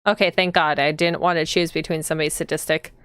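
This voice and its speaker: A woman's voice: low voice, little pitch movement, fast talker, fairly bright tone, smooth, dry recording, studio quality. A woman chatting casually to viewers as she hosts a live game stream. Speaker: streamer and host.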